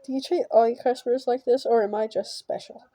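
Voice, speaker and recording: Woman reading as a teasing creepy guy, slightly high. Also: steady tone, a little fast, dark tone, natural voice. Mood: mocking. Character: teasing, mocking young man, a creepy horror-game weirdo. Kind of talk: reading visual novel dialogue aloud.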